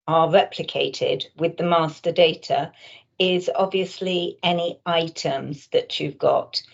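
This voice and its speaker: A woman presents in an explanatory webinar manner, like a consultant walking clients through a product. Her voice sits a little low and she speaks quite slowly, often hesitating mid-sentence. The audio is somewhat dull and muffled.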